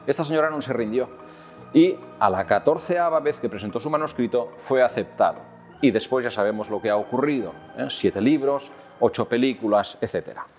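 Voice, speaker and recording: A man in his fifties with a Catalan accent speaks in a calm, measured way, at an average pitch and with frequent pauses on "eh". He talks straight to the camera in a room with tall windows. Faint music plays behind him. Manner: calm, measured, with many "eh" fillers. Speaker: children's author answering questions. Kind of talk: recorded talk to camera for children.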